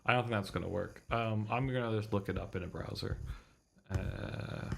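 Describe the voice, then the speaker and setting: A man's voice: lowish voice, moderate pace, vocal fry, slight background noise. A man doing casual livestream commentary on a visual novel, thinking aloud in a muttering way and swearing casually. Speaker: streamer reading a visual novel.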